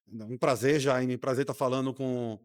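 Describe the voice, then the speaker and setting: A man talks as a guest doctor in a studio interview. His voice sits at a mid pitch. He is earnest and reflective, with some hesitations. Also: fairly even intonation, brisk. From Rio de Janeiro, Brazil. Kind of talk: casual interview conversation.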